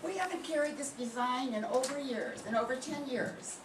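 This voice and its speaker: A woman's voice: sits high, moderate pace, close to an everyday voice. An older woman playing a polite, helpful shop clerk in an amateur 1940s-style radio play. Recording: distant echoey room, loud noise.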